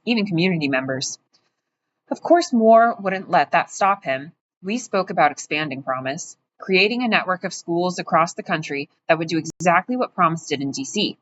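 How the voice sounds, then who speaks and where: A woman's voice: fairly low pitch, a bit bright. A woman voicing a smug, pompous wealthy middle-aged man in an audiobook reading, talking boastfully and self-importantly. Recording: dull, muffled audio with faint hiss.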